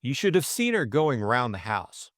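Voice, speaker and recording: A man voicing a vague, hesitant young gentleman who is smitten and admiring, in an audiobook. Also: medium pitch, very animated, normal speed, no echo, clean studio sound.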